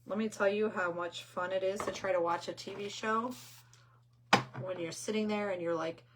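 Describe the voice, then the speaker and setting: Hoarse, squeaky older woman chatting. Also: fairly even intonation, normal speed, slight background noise, low-quality recording. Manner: chatty, rambling, reads viewer comments aloud. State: hoarse, losing her voice. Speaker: craft livestream host. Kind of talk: casual livestream chat while painting.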